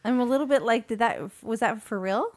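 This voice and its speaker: A woman's voice: fairly high pitch, animated, fairly quick. Chatty woman podcaster laughing between words. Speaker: podcast co-host. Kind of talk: casual chat.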